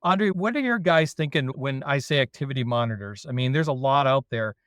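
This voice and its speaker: Man, Canadian accent, dairy consultant, self-deprecating humor, mid pitch, dry close mic. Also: fairly quick, dry recording. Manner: self-deprecating, jokey, relaxed. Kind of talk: casual podcast chat.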